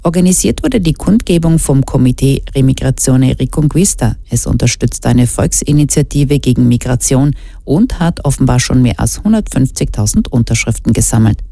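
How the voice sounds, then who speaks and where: Female radio news anchor, very deep mellow voice, scripted bulletin delivery, dry close sound. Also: lively intonation, moderate pace, gravelly, no echo.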